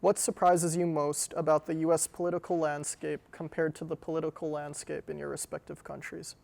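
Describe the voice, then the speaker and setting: A man's voice: fairly high pitch, level tone, a little fast, gravelly, a little mellow. A young man moderating a student panel, reading formal prepared questions.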